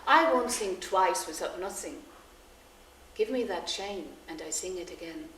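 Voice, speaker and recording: A woman voicing a small, proud magic songbird that sings its verses high and loud and speaks its bargains firmly. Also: expressive, a little slow. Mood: stubborn. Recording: roomy echo, faint noise.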